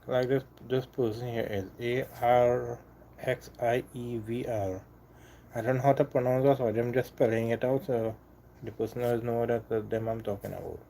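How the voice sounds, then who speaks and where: A man from Trinidad with a Trinidadian accent, hosting a casual live stream and chatting with viewers in a halting, repetitive way. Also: medium pitch, steady tone, creaky, warm tone, slight background noise. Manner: halting, repeats himself, apologetic then defiant. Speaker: livestream host.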